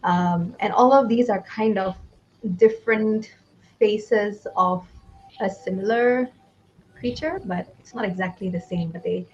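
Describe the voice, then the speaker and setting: A woman's voice: medium pitch, unhurried, a little mellow, highs cut off, a little hiss or hum. A young woman with a Malaysian English accent, a change consultant and coach, chatting in a casual livestream interview with frequent laughter. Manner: laughs often, chatty and upbeat.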